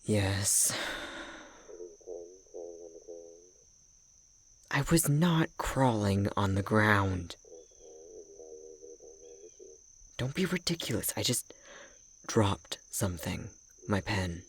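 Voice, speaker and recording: A man's voice: lowish voice, takes it slowly, slight background noise. A man playing a prickly, grudgingly caring elf academic in an ASMR roleplay, with a curt, haughty, exasperated delivery that often drops into whispers. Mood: indignant, defensive.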